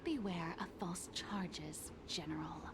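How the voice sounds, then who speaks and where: A woman voicing a defiant, scheming conspirator with a breathy, whispery and theatrical delivery for a video game. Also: on the low side, takes it slowly, noisy background. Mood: defiant, weary. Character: defiant, scheming female conspirator, resigned to defeat. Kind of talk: dramatic game voice acting.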